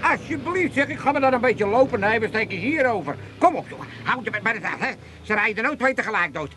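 An old Amsterdam rascal with a very high-pitched voice. He blusters and pities himself theatrically, like a born street swindler. It is a radio comedy, with noise around the voice. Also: expressive, fast talker, sharp tone, exaggerated voice. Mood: blustering, scornful. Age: older. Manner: theatrical wailing, indignant blustering.

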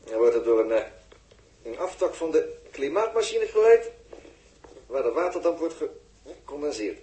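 Animated, sardonic spaceship technician, roomy. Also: male voice, a little high. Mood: distracted. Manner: sardonic, condescending, halting while busy. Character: gruff, sarcastic male spaceship technician, impatient explainer. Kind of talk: 1960s Dutch radio drama acting.

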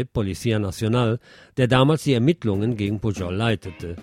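A man reads the news as a radio presenter at a middling pitch. His delivery is scripted, with dry, wry asides worked into the reporting. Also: a little mellow, no room sound.

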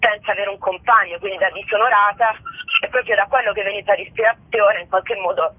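Woman on a tinny phone line, halting. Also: mid-range voice, fairly even intonation, breathy edge, no room sound. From Bari, southern Italy. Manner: rambling, frequent filler words, searches for words. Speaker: guest playwright and actress. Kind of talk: radio interview over the phone. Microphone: telephone.

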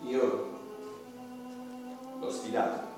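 A man narrating a radio play as a theatrical monologue, declamatory, bitter and mournful, with sharp swings in loudness. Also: drawn-out words, heavy reverb, far from the mic. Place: theatre stage. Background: violin music.

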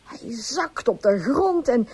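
Radio drama role: pleading farmer's wife, high voice, very animated, emotional and earnest. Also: female voice, slow, breathy edge, full character voice. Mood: distressed, confessing. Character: distraught, pleading farmer's wife confessing all.

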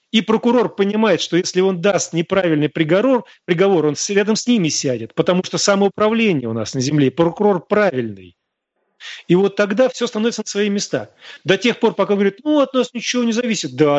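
A man's voice: no echo, highs cut off. A man hosting a live radio talk show, lecturing listeners in an emphatic, scornful way. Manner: emphatic and scornful, with sharp rises in pitch. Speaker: radio host answering listener questions.

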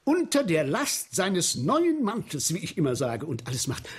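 An actor in a radio play playing an obsequious, fervid sewer inspector who tells grisly tales with husky emphasis and deferential asides. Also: male voice, sits high, huge pitch swings, normal speed, exaggerated voice. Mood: gleeful. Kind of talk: 1970s German radio play acting.

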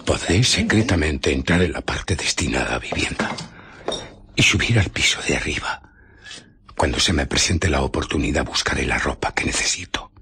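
Griffin, a deep, husky invisible man. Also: animated, sharp tone, heavily acted. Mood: secretive, tense. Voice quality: husky, breathy voice slipping into whispers. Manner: deliberate, dramatic storytelling with pauses. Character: Griffin, embittered invisible man scientist recounting his furtive exploits. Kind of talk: radio drama narration and dialogue.

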